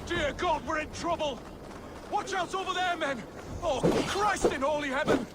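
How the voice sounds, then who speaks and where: Beleaguered soldier, game combat lines: panicky, extremely high-pitched; loud ambient noise. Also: male voice, normal speed. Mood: alarmed. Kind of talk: video game battle dialogue.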